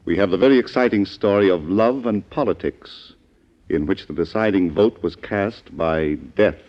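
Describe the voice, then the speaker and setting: A man's voice: deep voice, moderate pace. A man announcing and hosting in scripted 1940s radio style. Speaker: announcer and host in librarian persona. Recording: muffled, noisy vintage radio recording.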